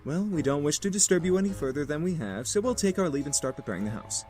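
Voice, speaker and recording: A man's voice: fairly high pitch, animated, fast talker, a little mellow, lightly acted. A man voicing a composed, courteous young house servant and leader, formal and polite, in a visual novel game. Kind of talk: anime-style visual novel game acting. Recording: game music behind.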